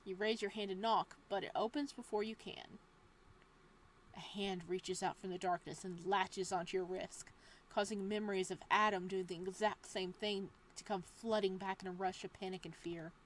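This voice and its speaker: A woman's voice: mid-range voice, fairly even intonation, normal speed, a little hiss or hum. Playful woman reading a visual novel. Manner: playful asides between narration. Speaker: game streamer.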